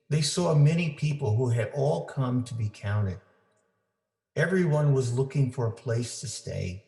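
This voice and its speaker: A man reading a children's story aloud for families, with deliberate, simple phrasing for young listeners. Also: mid-range voice, a little mellow. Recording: roomy, echoing indoor sound.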